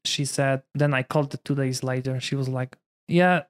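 A Polish-accented priest chats about his life in easygoing English. He talks with plenty of fillers and restarts, at a middle pitch for a man. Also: fairly even intonation, normal speed, clear, resonant tone. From Poland. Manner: chatty, many fillers like "you know". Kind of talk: casual conversation.

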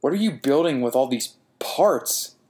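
A man's voice: mid-range voice, expressive, measured pace, darkish tone, lightly acted. A man voicing the uneasy but defiant hero of a horror story, speaking his dialogue. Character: uneasy but defiant male protagonist confronting a monster.

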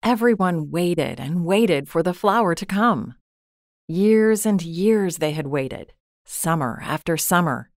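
Calm woman reading a storybook. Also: fairly low pitch, no room sound, clean studio sound. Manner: calm, measured storytelling with soft quoted asides. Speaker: storybook reader. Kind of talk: gentle scripted story narration.

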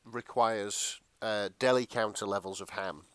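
A man's voice: on the low side, fairly even intonation, unhurried, a bit bright, smooth, no room sound. A man co-hosting a podcast in casual comedic banter, wry, self-deprecating and happy to swear. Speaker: podcast co-host.

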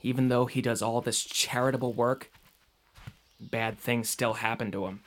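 Guy playing a young man in an audio drama, kinda doubtful, earnest, conversational, medium pitch. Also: steady tone, moderate pace, natural voice. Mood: earnest, downcast.

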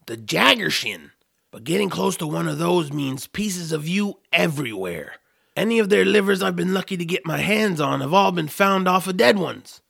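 A man's voice: high voice, lively intonation, moderate pace, creaky, fairly bright tone, no echo, studio quality, full character voice. A man voicing a grumpy, reclusive troll with an exasperated, grumbling manner in a family audio drama. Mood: dramatic, emphatic. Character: grumpy, reclusive male troll, food-obsessed reluctant hero.

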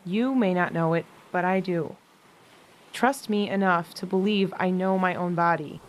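A young American woman playing a frail, weary younger sister, gentle but frank, in a modern audio drama. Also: fairly low pitch, unhurried, natural voice, low-quality recording. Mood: resigned, earnest.

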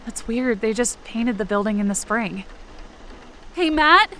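An anxious young female camp counselor in an audio drama, her voice on the high side. She narrates in the first person with a tense, dramatic delivery, hurt and on edge. Faint rain and ambience sit behind her. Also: lively intonation, measured pace, a bit bright, slight background noise. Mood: worried calling. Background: rain and outdoor ambience effects.

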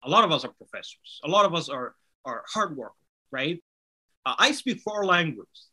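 Man, bright forceful voice, earnest, persuasive, interview guest. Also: fairly high pitch, very animated, normal speed, husky, poor audio. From Somalia. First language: Somali. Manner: earnest, persuasive, rhetorical "right?" tags. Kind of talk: conversational interview answer.

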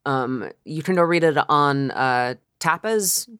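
A podcast co-host chatting casually and informally, haltingly circling back mid-thought. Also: mid-range voice, a bit bright, clean tone, dry recording, studio quality. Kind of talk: casual chat.

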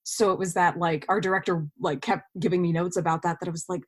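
A woman's voice: fairly low pitch, steady tone, fast talker, husky. A woman speaking casually as an actor being interviewed, telling stories and voicing her own thoughts as quotes.

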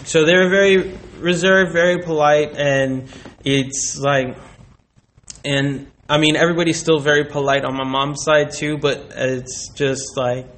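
A man answering as an interview guest in a casual chat, thinking aloud in hesitant, halting phrases. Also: on the high side, fairly even intonation, fairly bright tone. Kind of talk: casual chat about personal experience.

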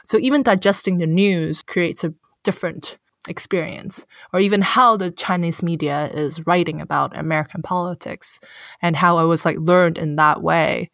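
A young woman from Hong Kong, a therapist with a Cantonese accent, speaking English as a second language in a thoughtful, halting way, with many fillers. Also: on the low side, vocal fry, fairly bright tone, dry recording, clean studio sound. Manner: thoughtful, searching for words, frequent fillers. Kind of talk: casual conversation.

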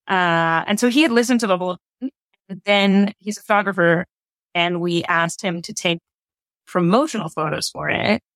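A woman's voice: on the low side, moderate pace, a bit bright, no room sound. A woman speaking as a guest in a casual interview, telling anecdotes in a rambling, conversational way. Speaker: guest, audio drama creator.

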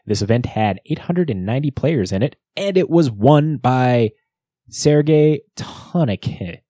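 A man's voice: medium pitch, lively intonation, measured pace, dark tone, no room sound, clean studio sound. A man co-hosting a podcast, bantering jokily and running through a recap of events in a casual way. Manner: jokey, bantering. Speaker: podcast co-host.

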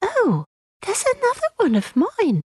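Woman as an inquisitive high-voiced little girl. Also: huge pitch swings, unhurried, darkish tone, no room sound, clean studio sound, full character voice. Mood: curious. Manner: inquisitive, childlike. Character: curious, frightened-sounding little storybook girl.

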